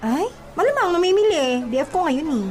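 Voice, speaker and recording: A woman's voice: very high voice, sing-song, brisk, darkish tone, faint music behind. A woman playing a chatty young housemaid in an audio drama, exclaiming and stammering when flustered. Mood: teasing, surprised. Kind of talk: radio-drama style acting.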